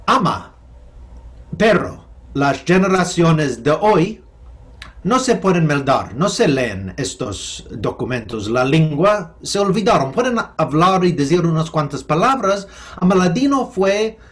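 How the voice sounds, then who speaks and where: Halting American man, higher voice. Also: very animated, a bit bright. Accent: American English. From United States. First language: English. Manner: halting, thoughtful, with many restarts and fillers. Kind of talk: remote interview.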